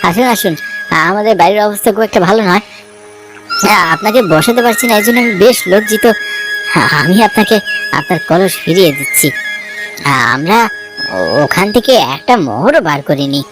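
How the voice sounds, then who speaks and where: Honest village son for a cartoon, acted by a performer: respectful, earnest, mid-pitched, music bed behind. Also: lively intonation, a little fast, long pauses, natural voice. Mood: humble, grateful. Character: polite, honest, humble younger villager son. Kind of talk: cartoon story acting.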